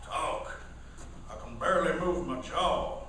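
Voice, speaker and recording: A man's voice: a little high, unhurried, bright, forceful tone. A man playing a folksy frontier loner with an Old West American drawl in a comic Western radio play. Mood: plainspoken, wry. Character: folksy frontier loner, a dry-mouthed drawling prairie cowboy. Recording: echoey hall, distant mic, faint noise.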